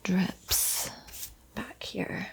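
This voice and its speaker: A woman whispering an ASMR painting tutorial in a breathy, soothing, gently encouraging way. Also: very slow, rough recording. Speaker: art tutorial host.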